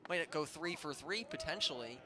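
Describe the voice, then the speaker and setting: Male baseball analyst over clear stadium noise. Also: on the high side, animated, moderate pace, pushed and bright. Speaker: baseball color commentator. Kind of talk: conversational live sports analysis. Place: ballpark, live broadcast. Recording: noisy outdoor stadium ambience.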